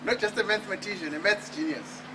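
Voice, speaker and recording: A man from Zimbabwe with a high voice delivers an emphatic motivational talk on an outdoor terrace at night. He is picked up from a distance by the camera, with steady outdoor noise behind him. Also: fast talker. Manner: emphatic, rhetorical questions. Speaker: author and speaker. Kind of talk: motivational speech at a book launch. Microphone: far camera.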